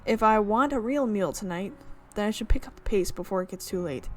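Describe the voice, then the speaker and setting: A woman's voice: medium pitch, animated, fairly quick, faint music behind. Chatty woman reading a game aloud. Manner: casual, chatty asides and giggles between narration. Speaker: let's play streamer. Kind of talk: reading a visual novel aloud with commentary.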